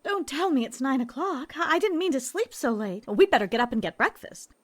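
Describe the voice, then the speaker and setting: An actress playing a loyal friend in an old-time radio drama, speaking in a brisk, soothing way. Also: female voice, high voice, animated. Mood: sleepy. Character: loyal young woman, caring friend, practical.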